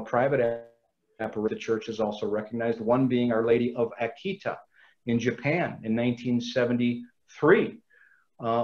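Casting: earnest man lecturer on a video call, mid pitch, muffled audio. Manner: earnest, measured, quotes sources at length.

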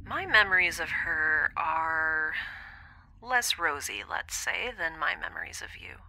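A woman's voice: deep voice, sing-song, measured pace, sharp tone, full character voice, rough recording. A woman playing a lonely, wistful young space traveller in an intimate audio diary drama, hesitant and introspective, often dropping toward a whisper. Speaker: podcast audio drama lead.

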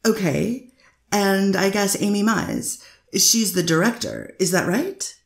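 A woman's voice: medium pitch, animated, slow pace, creaky. A woman attorney cross-examining a witness in a courtroom, pressing with leading questions. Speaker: defense attorney. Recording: echoey courtroom recording.

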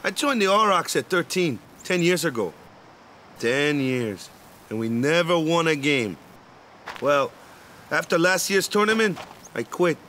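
Easygoing, animated island ballplayer. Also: male voice, on the high side, measured pace, pauses often, a little hiss or hum. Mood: wistful, reflective. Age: 20s. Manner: laid-back, slangy, tag questions like "eh?" and "yeah?". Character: easygoing, friendly 23-year-old male island ballplayer. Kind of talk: video game voice acting.

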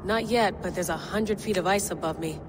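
A woman's voice: lowish voice, brisk, background noise, natural voice. An actress playing a determined explorer in her thirties in a game cutscene, speaking in a clipped, practical way. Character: determined young woman explorer. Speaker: explorer on a mission. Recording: game cutscene with loud music behind.

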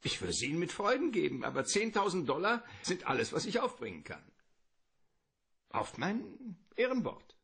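An actor playing a wordy, courteous, calculating middle-aged crime boss in a radio detective drama, with theatrical politeness. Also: male voice, on the high side, wildly swooping pitch, moderate pace, vocal fry, fairly bright tone, slightly rough, heavily acted. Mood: suavely bargaining.

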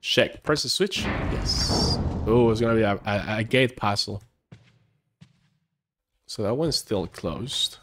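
Man in his thirties, live game commentary, headset mic, lively pitch, unhurried, game music behind. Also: slight background noise. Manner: joking, laughs at himself, talks to chat. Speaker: streamer. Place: home room, indoors.

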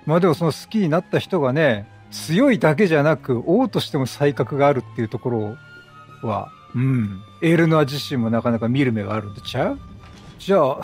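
A man's voice: on the high side, darkish tone. A man giving chatty let's-play commentary, reacting and thinking aloud. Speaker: game streamer.

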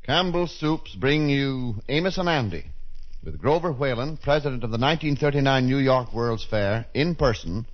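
American male announcer, medium pitch, 1930s sponsor read, muffled old radio recording. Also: animated, normal speed.

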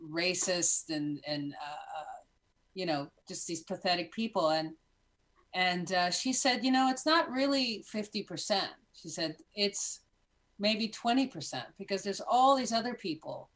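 Lively middle-aged woman hosting chat. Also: lowish voice, measured pace. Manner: animated, talkative storyteller. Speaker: host. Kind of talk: casual chat. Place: small room at home. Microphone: video call.

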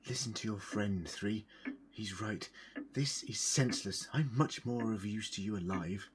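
An actor playing a wry, defiant captive adventurer pleading in an audio drama, dramatic and expressive. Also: male voice, lowish voice, measured pace, slight background noise, close to an everyday voice. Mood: desperate. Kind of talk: fan audio drama acting.

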